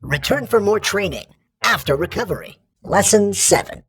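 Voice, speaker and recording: An actor voicing an exacting combat training droid in a video game, formal and impassive, with a gravelly, husky robotic voice. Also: male voice, sits high, lively intonation, normal speed, a bit bright, full character voice. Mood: formal, instructive. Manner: formal, impassive, precise, instructional. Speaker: combat instructor. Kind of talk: scripted video game tutorial lines.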